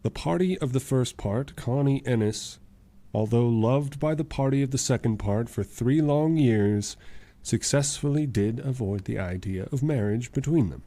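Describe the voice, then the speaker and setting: A mellow, mid-pitched male lawyer played by an actor in an old-time radio drama. He speaks with measured courtroom formality and a wry, self-sacrificing air. The sound is dry. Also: no room sound, close to an everyday voice. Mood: dry, prosecutorial.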